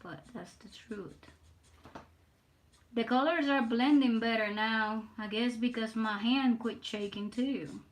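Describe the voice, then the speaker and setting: Slow-talking woman with a Puerto Rican accent. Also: on the high side, slight background noise. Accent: Puerto Rican Spanish mixed with Southern US. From Puerto Rico. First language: Spanish. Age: older. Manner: halting, repeats words, soft-spoken. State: nervous. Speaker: livestream artist. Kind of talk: casual live stream chat while drawing.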